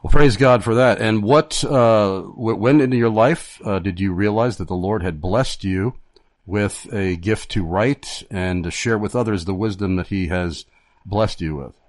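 Male radio host, formal and halting, lots of fillers, low voice, dry close sound. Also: dry recording. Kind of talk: radio interview.

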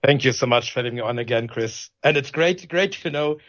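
A man's voice: mid-range voice, fairly quick, fairly bright tone. German-accented male pastor, slightly muffled audio. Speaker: pastor, interview guest. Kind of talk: conversational interview answers.

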